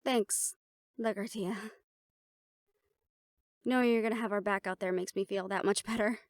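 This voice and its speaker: A woman's voice: medium pitch, fairly even intonation, normal speed, no room sound, studio quality, close to an everyday voice. A woman playing a confident, playful siren-banshee warrior in a conversational audio roleplay, talking intimately to the listener. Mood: grateful, sincere.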